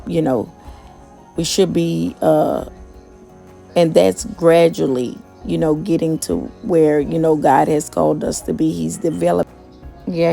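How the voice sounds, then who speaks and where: Slow, gravelly, monotone woman. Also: deep voice. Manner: monotone, self-described; conversational, many fillers and restarts. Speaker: motivational vlogger and gig worker. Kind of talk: casual motivational talk.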